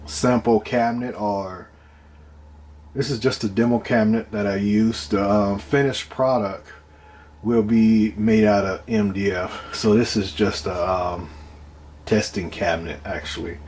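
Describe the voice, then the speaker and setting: Casting: man with a lowish voice, informal DIY demonstrator, halting and unscripted, faint background noise. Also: steady tone, a little mellow. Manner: halting, unscripted, thinking aloud. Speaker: DIY speaker builder presenting own project. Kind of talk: casual hands-on demonstration talk.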